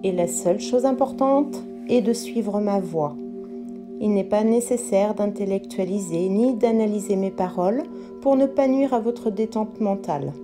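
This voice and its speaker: Woman, mid-pitched voice, calm yoga instructor, measured guided meditation, clear music with singing bowl tones behind.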